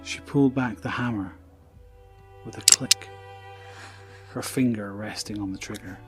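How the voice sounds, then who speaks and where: A man narrating an audio drama in a solemn, deliberate, dramatic scripted style. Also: fairly low pitch. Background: music.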